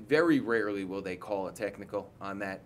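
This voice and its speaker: A man's voice: fairly low pitch, a little fast. A man in his forties, a head coach, giving candid, rambling post-game answers full of restarts, seated at a table mic in an indoor press room.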